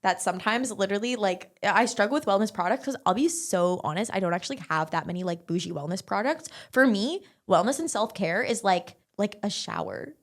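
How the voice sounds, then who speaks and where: A young woman, a barre instructor, talking casually as an interview guest, chatty and quick with lots of filler words. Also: mid-range voice, animated. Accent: English-speaking, non-French.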